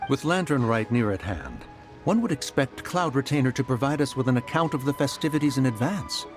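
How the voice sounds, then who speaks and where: A man's voice: mid-range voice, lively intonation, fairly quick, soft background music, natural voice. A man voicing a proud ancient adeptus in human form, speaking in a stately, archaic way. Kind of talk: game voice acting.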